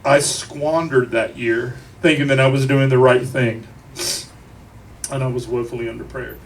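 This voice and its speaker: A grieving man delivers an emotional eulogy to a crowd, speaking deliberately, with profanity and wry humor. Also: mid-range voice, fairly even intonation. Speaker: eulogist at a memorial. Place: crowded bar at a memorial. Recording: noisy live room, distant mic.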